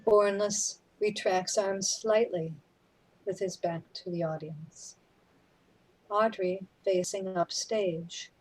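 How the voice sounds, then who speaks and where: A woman reads stage directions in a husky, mid-pitched voice. Her scripted narration is very slow, delivered in short phrases. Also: fairly even intonation, darkish tone, rough recording.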